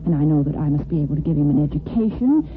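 A woman's voice: deep voice, lively intonation, quick pace, soft background music. An actress playing an earnest, determined, sensitive unmarried woman in a 1950s radio soap opera. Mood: earnest, pleading. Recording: tinny, muffled vintage radio broadcast recording.

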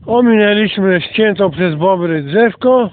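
Man, high voice, casual vlogger, outdoor walking commentary, phone-like tinny sound, slight noise. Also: expressive, a little slow. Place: outdoors on a nature walk.

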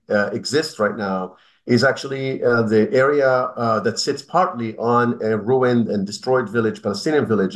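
A middle-aged man from northern Israel whose first language is Arabic, a human rights lawyer answering interview questions over a video call in a measured way, with many fillers and restarts. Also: medium pitch. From Haifa, northern Israel. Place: indoor room at home. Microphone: video call webcam.

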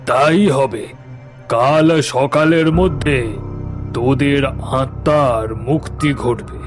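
A man's voice: medium pitch, extremely slow. A man voicing a solemn, authoritative village priest and exorcist in a cartoon. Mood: calm, reassuring. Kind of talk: dramatic cartoon voice acting. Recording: faint music behind the voice.

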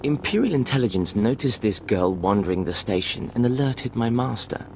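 An actor playing a pompous, sneering Sith villain, cold and theatrical, in a video game cutscene. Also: male voice, medium pitch, lively intonation, normal speed, a little hiss or hum, lightly acted. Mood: mocking. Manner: mocking, pompous.

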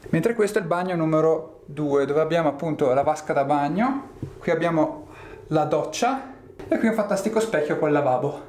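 A man's voice: on the high side, animated, normal speed, darkish tone. A young man talking to a handheld camera as a travel vlogger, chatty and upbeat, speaking directly to his viewers. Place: mountain outdoors and hotel room. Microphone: handheld camera at arm's length.

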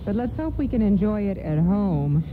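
A woman's voice: medium pitch, sing-song, normal speed, background noise, natural voice. An actress playing a kindly, mildly worried mother in an old-time radio play, with a motherly manner. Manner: motherly, cheerful. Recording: tinny radio broadcast, music behind.

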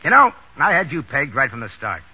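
Brusque, fast-talking, cocky wise guy. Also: mid-range voice, wildly swooping pitch, slightly rough, exaggerated voice. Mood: scornful. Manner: blunt, slangy, scolding. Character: brusque, cocky, bossy young wisecracking man. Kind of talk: 1930s radio acting. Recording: muffled 1930s radio broadcast.

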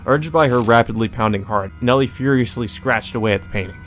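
A man's voice: medium pitch, brisk, a bit bright. A man reading a visual novel aloud as a let's player, with informal, colloquial delivery. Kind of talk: visual novel read-aloud with casual commentary. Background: game music.

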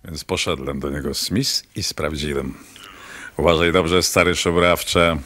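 A man's voice: rumbling low, fairly even intonation, a little slow, a bit bright. An actor playing a menacing, lecherous, domineering man in a radio drama, on a faintly noisy recording. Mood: gloating. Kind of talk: Polish radio drama acting.

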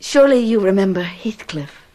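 An actress playing a passionate, wilful young gentlewoman in a radio drama. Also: mid-range voice, lively intonation, normal speed, close to an everyday voice. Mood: excited.

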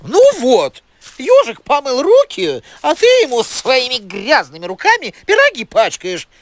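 A man's voice: very high voice, huge pitch swings, a little slow, heavily acted. A man voicing a fussy, motherly older female owl in a cartoon, scolding and instructing with care. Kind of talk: animated cartoon dialogue.

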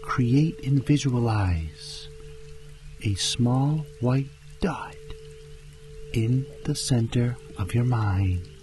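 A man leads a guided meditation with very slow, deliberate delivery. His manner is calm and hypnotic, soothing throughout. Clear music plays behind his medium-pitched voice. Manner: calm, hypnotic, deliberate, often dropping into breathy whispers.

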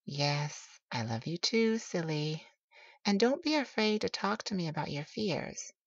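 A woman playing a tender, teasing boyfriend who soothes a sleepless lover in an intimate, slow ASMR roleplay. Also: on the low side, sing-song, heavily acted. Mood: soothing, reassuring. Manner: soothing, affectionate, playful. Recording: roomy, slightly dull home recording.

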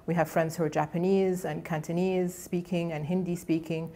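A woman's voice: on the low side, flat delivery, normal speed, warm tone. A middle-aged woman whose first language is Egyptian Arabic gives a conversational academic lecture from a podium, often restarting her phrases. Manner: conversational, with frequent restarts and fillers. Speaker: guest lecturer. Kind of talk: academic colloquium talk. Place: lecture hall.

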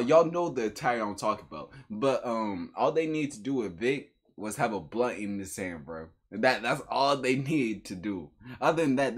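A young man with a husky voice giving a casual, slangy, incredulous reaction commentary in a room at home. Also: medium pitch. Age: young adult. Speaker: reaction video host. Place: small room at home, indoors.

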